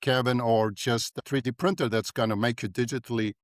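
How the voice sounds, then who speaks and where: An older man from South Africa, a dentist guest in a podcast interview, talking in an animated way and often tagging his points with "right?". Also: mid-range voice, a little fast, no echo, studio quality.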